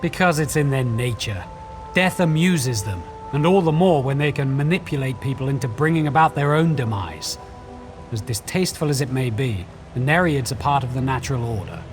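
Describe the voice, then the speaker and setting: An actor playing a scholarly, wary quest guide in a fantasy video game, speaking in a measured, formal, articulate way. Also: male voice, mid-range voice, expressive, natural voice. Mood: measured, explanatory. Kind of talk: video game dialogue, explanatory. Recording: faint music behind.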